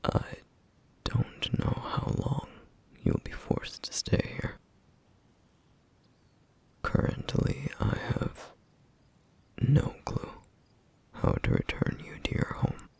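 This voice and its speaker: Man acting a husky, whispering, somber flame demon. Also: mid-range voice, wildly swooping pitch, full character voice. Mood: grave, hesitant. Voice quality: breathy, husky, rough whisper. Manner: hushed, halting, grave, formal wording. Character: duty-bound male flame demon, somber, apologetic, protective. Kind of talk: ASMR roleplay, intimate scripted monologue.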